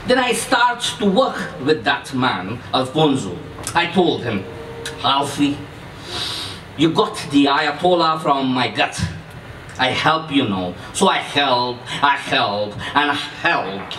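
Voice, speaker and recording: A man's voice: mid-range voice, sing-song, takes it slowly, pushed and bright, pitched below the performer's own voice, heavily acted. Man acting a wildly swooping, menacing ex-commando. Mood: reminiscing, dramatic. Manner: emphatic, repetitive, broken grammar with questioning tags. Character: menacing, boastful Iranian ex-commando, volatile and emphatic. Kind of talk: dramatic character reading from a novel. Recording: distant echoey room, faint noise.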